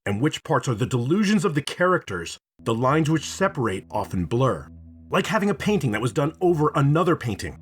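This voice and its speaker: A man narrates a scripted game review in a husky, slightly high voice. His pitch moves around a lot, and he phrases things dramatically and playfully, stretching words for emphasis. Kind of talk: scripted video essay narration.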